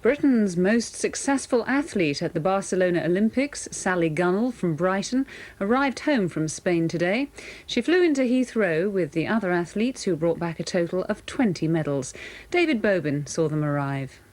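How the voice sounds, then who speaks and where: A woman's voice: lowish voice, lively intonation, moderate pace, darkish tone. A woman reading a scripted regional television news bulletin as presenter.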